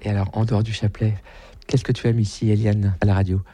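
A male radio presenter interviewing guests in a brisk, friendly way. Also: fairly low pitch, level tone, warm tone.

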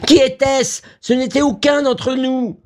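A man's voice: extremely high pitch, fairly even intonation, unhurried, voice pushed up. A man voicing a frightened, hysterical young woman who exclaims in an agitated, repetitive way. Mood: alarmed. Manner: exclaiming, agitated, repeating herself.

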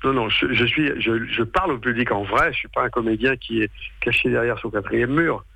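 A man's voice: medium pitch, brisk, vocal fry, slightly rough, no room sound, dull audio. A man in his sixties from western France, a native speaker of patois, giving a casual interview in a hesitant, colloquial way, searching for his words. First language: western French patois. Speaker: stage performer, storyteller.

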